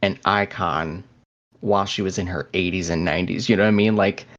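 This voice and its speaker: Lowish guy voice cohosting a podcast, casual chat, kinda lo-fi audio. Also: fairly even intonation, brisk, fairly bright tone. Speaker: podcast co-host. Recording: slightly dull, lo-fi sound.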